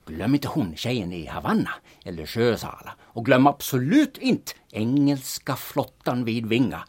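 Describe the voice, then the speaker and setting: A man's voice: medium pitch, sing-song, a little mellow, slightly rough. An actor playing a sly, coaxing male agent who talks people into things. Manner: coaxing and persuasive.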